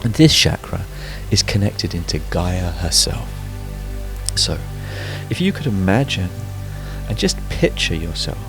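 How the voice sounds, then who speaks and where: A man leading a guided sleep meditation, giving soothing, unhurried instructions with frequent pauses. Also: deep voice, big pitch swings, vocal fry. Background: background music.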